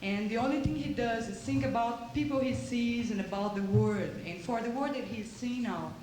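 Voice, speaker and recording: A woman's voice: a little high, normal speed, a little mellow. Brazilian woman singer talking to a crowd. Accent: Brazilian Portuguese. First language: Portuguese. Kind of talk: casual stage talk to an audience. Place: prison hall concert. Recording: roomy live concert recording with faint noise.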